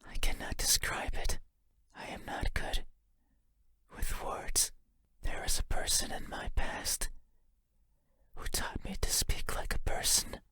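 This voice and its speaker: Whispering, lonely monster in the dark. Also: male voice, no room sound. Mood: wistful, hesitant. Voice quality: breathy, unvoiced whisper. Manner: halting, intimate, musing, formal phrasing. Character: lonely wordless monster lurking in the dark, yearning, eerie. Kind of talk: video game horror monologue.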